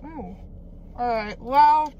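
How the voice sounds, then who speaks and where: A woman's voice: on the high side, extremely slow, lo-fi sound, a little hiss or hum. An eighteen-year-old woman vlogging casually from a parked car, chatty and self-mocking, swearing freely. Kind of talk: casual vlog chat. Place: parked car at night, indoors. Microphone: phone camera held or propped close.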